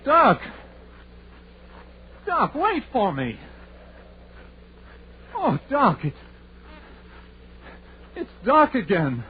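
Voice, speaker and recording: Scared superstitious country sidekick, old radio horror, jumpy pitch, kinda slow, tinny old recording. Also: male voice, huge pitch swings, breathy edge, heavily acted. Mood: alarmed. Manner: folksy, hesitant, stammering. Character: timid, superstitious country sidekick, easily spooked.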